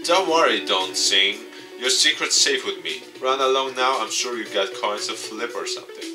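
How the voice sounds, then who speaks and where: A man voicing a brusque, sharp-tongued young female gaming coach from a visual novel, scolding and sarcastic. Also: medium pitch, moderate pace, recorded from a distance, over music, close to an everyday voice. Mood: biting sarcasm. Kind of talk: visual novel read-aloud.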